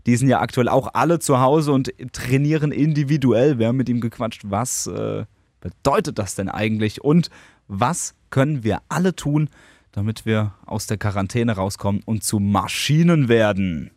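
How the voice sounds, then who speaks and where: A man hosting a casual podcast, asking relaxed questions with frequent fillers. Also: mid-range voice, moderate pace, dry recording, studio quality. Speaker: podcast host. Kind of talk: casual podcast chat and interview.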